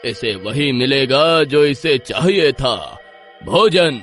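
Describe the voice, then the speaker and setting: A man's voice: medium pitch, lively intonation, slow pace, fairly bright tone, soft background music, voice pushed down. A man playing a solemn, commanding village priest in a horror story. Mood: solemn warning. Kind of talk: horror story character acting.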